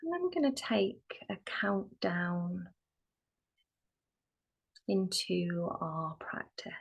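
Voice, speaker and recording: A woman leads a guided relaxation as a yoga nidra teacher. She speaks very slowly, leaving long, gentle pauses. Her soft, soothing voice drops into a creaky fry and at times turns breathy. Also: fairly low pitch, sing-song.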